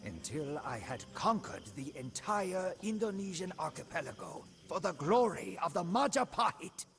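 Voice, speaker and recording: An actor playing a proud, devoted commander of a royal guard, narrating a game's storybook in a solemn, deliberate manner. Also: male voice, sits high, sing-song, a bit bright, full character voice. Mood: solemn, resolute. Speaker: narrator of a game campaign story. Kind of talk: scripted storybook narration in character. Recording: music behind the voice.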